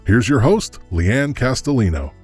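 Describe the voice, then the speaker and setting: A man's voice: mid-range voice, lively intonation, unhurried, breathy edge. A man reading the scripted intro of a podcast in an upbeat announcer delivery. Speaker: show announcer. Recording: voice over faint music. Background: faint music.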